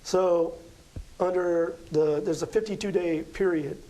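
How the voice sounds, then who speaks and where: Male interview guest, American, fairly high and very mellow voice, casual explainer. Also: steady tone, unhurried, rough recording. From Las Vegas, United States. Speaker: guest astrology card reader.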